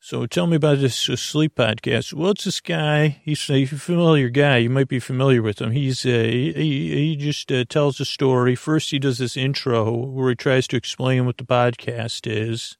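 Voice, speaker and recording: A man with a creaky voice hosting a bedtime podcast in a soothing, meandering monologue that halts and loses its thread. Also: mid-range voice, steady tone, no room sound, studio quality. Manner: meandering, halting, losing his thread; soothing, lulling. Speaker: podcast host.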